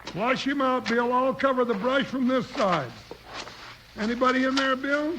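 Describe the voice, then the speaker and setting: An actor playing a comical, excitable cowboy sidekick with an American Western accent in a 1950s radio western. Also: male voice, extremely high pitch, normal speed. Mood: excited, wary. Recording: noisy old radio recording.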